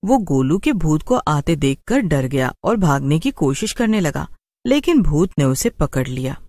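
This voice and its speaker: A man's voice: fairly high pitch, very animated, a little slow, a little mellow, no echo. A man narrating a scripted children's horror story as a storyteller.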